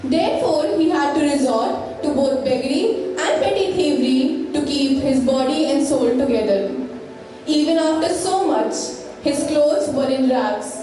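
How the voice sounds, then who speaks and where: A woman's voice: high voice, measured pace, warm tone. A woman delivering scripted narration to introduce a stage play. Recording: echoey hall, distant mic, music behind.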